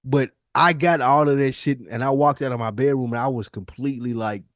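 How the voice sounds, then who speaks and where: A man's voice: mid-range voice, fairly even intonation, brisk, no room sound, studio quality. A man in his forties telling personal stories in casual podcast chat, slangy and profane, repeating phrases for emphasis. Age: 40s. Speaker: podcast co-host.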